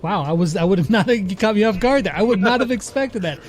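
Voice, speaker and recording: A man's voice: high voice, animated, fast talker. A man in his forties hosting an interview in a casual, chatty style. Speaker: interviewer, show host. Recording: loud music underneath.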